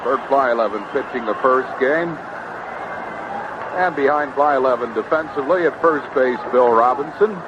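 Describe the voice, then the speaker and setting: A man's voice: medium pitch, normal speed, lo-fi sound. A man calling live baseball play-by-play as a radio announcer, on an old 1970s broadcast recording. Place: stadium broadcast booth. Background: loud ballpark ambience and noise.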